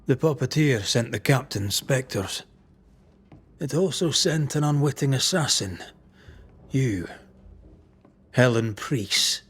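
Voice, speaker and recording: An actor playing a solemn ghost hunter in a video game, deliberate and authoritative as he interrogates and passes judgement. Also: mid-range voice, lively intonation, a bit bright, slightly rough, heavily acted. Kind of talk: dramatic video game acting.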